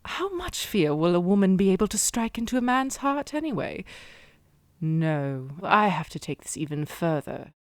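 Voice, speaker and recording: A woman's voice: medium pitch, big pitch swings, normal speed, dry recording, studio quality. A woman acting a determined young heiress in a radio play, cool and poised, with formal theatrical diction. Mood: defiant, brooding.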